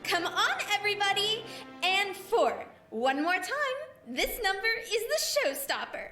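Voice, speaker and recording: Echoey, very high, bubbly ghost showwoman. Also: big pitch swings, a little slow, pushed and bright, recorded from a distance, faint music behind, full character voice. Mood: bubbly, excited. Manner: bubbly, theatrical, pushy. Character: ridiculously bubbly, bossy female ghost dance director. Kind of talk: scripted audio drama acting.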